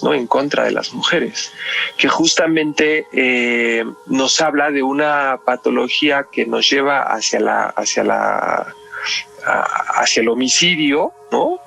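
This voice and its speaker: A man's voice: medium pitch, creaky, pushed and bright. A man with a Mexican accent talking analytically in a podcast discussion, explaining things and often checking with tag questions.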